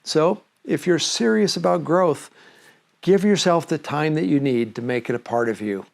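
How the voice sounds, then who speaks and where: A man hosting a reflective program, speaking to listeners in an earnest, gently instructive way. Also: fairly high pitch, normal speed, gravelly. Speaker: host of a reflective series.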